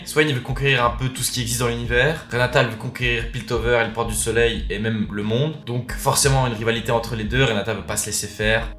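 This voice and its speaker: A man's voice: mid-range voice, sharp tone. A man giving casual, informal commentary on game lore, explaining and speculating as he goes. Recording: roomy, echoey voice recording.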